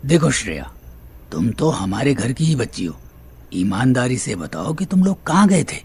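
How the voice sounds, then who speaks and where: A man acting a stern, authoritative middle-aged father with an Indian accent in an audio drama, measured and formally polite. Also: mid-range voice, sing-song, a little mellow, breathy edge, heavily acted.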